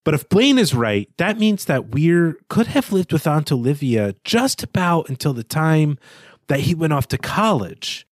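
A man's voice: a little high, big pitch swings, unhurried, no echo, studio quality. A man co-hosting a literary discussion podcast, talking analytically in bookish phrasing. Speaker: podcast co-host.

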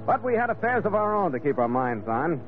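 Animated, hard-boiled sea captain. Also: male voice, sits high, a little fast. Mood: atmospheric, measured. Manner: terse, dry, hard-boiled. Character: wry, decisive ship's captain narrating his adventure. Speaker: lead actor and narrator. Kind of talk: old-time radio drama narration and acting. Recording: tinny vintage radio, music behind.

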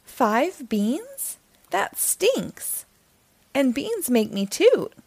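A woman's voice: high voice, sing-song, very slow, vocal fry, darkish tone, no echo, voice pushed up, exaggerated voice. A woman voicing a cheeky, whiny young boy hero in a children's storybook read-aloud, complaining and answering back. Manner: complaining, exclamatory back-talk.

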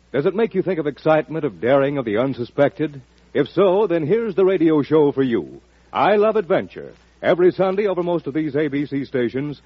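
An American man announcing a 1940s radio promo in a punchy, dramatic, husky voice. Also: fairly high pitch, dry recording. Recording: muffled old radio recording, dry.

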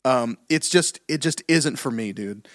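A man co-hosting a casual music podcast, bluntly dismissive and halting, with frequent restarts. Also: mid-range voice, expressive, dry recording, studio quality. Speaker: podcast co-host. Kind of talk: casual opinionated music chat.

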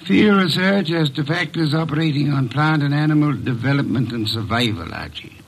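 A man acting a huge, grumpy genius detective in a radio drama, speaking formally and pompously with a gravelly, husky voice. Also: a little high, lively intonation, normal speed, heavily acted, low-quality recording. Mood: formal, probing. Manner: pompous, formal, clipped questioning.

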